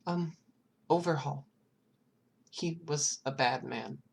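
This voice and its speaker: Narrator voicing a timid little girl, high and halting. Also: gravelly, a little hiss or hum. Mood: timid, hesitant. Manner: halting, hesitant, hedging. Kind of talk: acted audiobook dialogue.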